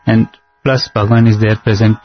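Husky-voiced man, Nepali native speaker. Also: lowish voice, level tone, fairly quick. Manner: earnest storytelling, breaks into sung lines. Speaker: singer and guest. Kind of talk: devotional interview chat. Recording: slightly dull with faint music.

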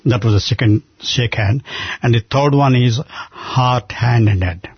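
An older man with an Indian accent, telling stories in an earnest, halting way with many restarts. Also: medium pitch, breathy edge, no echo. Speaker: guest. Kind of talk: conversational storytelling. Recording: dull muffled lo-fi sound.

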